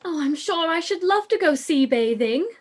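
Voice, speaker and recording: A woman voicing a whiny, excitable teenage girl in a live-read radio play, pleading and whining. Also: very high voice, normal speed.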